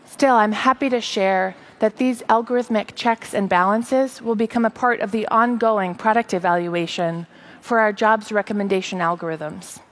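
A woman in her thirties presents on a big conference stage. Her voice sits at a medium pitch, and she delivers rehearsed lines earnestly, with careful articulation. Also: normal speed, fairly bright tone. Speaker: tech company presenter. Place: large auditorium stage.

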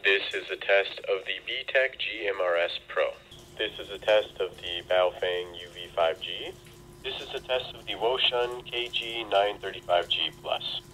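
A man's voice: deep voice, normal speed, bright, forceful tone, low-quality recording. A man reading out a test phrase, heard through a handheld walkie-talkie radio with band-limited sound. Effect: walkie-talkie radio transmission.

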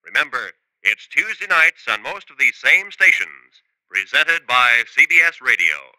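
A man's voice: mid-range voice, sing-song, a little slow, sharp tone, no echo, low-quality recording. A man making a scripted 1950s network radio announcement with polished, emphatic delivery.

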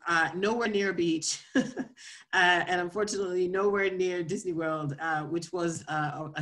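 A woman with a low voice and an American accent tells her own story in an informal talk. She is reflective, building her sentences in short, halting bursts as she goes. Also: fairly bright tone. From Florida, southern United States. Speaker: scientist and research leader giving a talk.

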